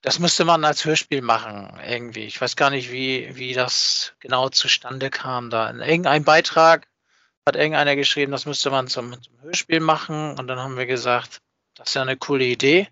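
A man talking casually on a podcast, haltingly, repeating words as he searches for the right phrasing. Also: medium pitch, pushed and bright, no room sound. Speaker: podcast speaker. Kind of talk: casual chat, recounting how a fan project began. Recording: slightly dull, dry voice recording.

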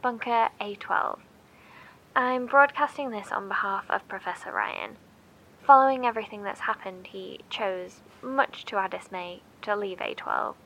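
An actress playing an anxious bunker survivor who hesitantly and reluctantly makes a broadcast in an audio drama. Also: female voice, medium pitch, creaky, fairly bright tone, low-quality recording. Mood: nervous, downcast. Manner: hesitant, halting, sighing.

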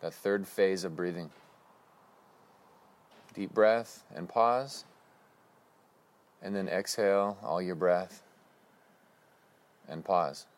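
A man leading a guided breathing meditation with slow, calm, instructive cues. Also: low voice.

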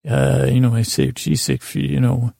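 A man with a creaky voice hosting a podcast, improvising a rambling bedtime monologue, halting and stammering as he repeats words. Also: medium pitch, level tone, mellow, dry recording, clean studio sound. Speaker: podcast host.

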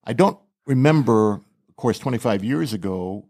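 A man's voice: medium pitch, expressive, moderate pace, a little mellow. An older man who leads a ministry, a studio guest talking in a playful but earnest way. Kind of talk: casual interview chat.

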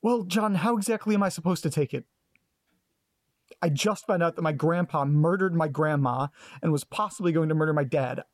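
A man's voice: a little high, fairly quick, dry recording, lightly acted. A man acting in an audio drama as a guarded, sarcastic grandson who is detached from family trauma, speaking in a dry, hesitant, clipped way. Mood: bitter, detached.

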